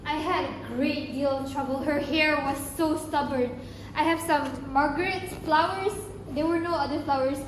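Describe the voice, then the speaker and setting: Fretful young mourner played by a female amateur, very high voice, earnest and halting, student play in an echoey room. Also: lightly acted. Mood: fretful, sad. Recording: echoey room, faint noise.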